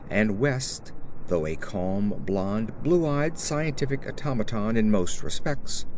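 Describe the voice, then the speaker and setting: A man with a low voice narrates a gothic horror audiobook. His delivery is measured and formal, with dramatic, wide swings in pitch. There is faint noise and ambience behind him. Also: a little mellow.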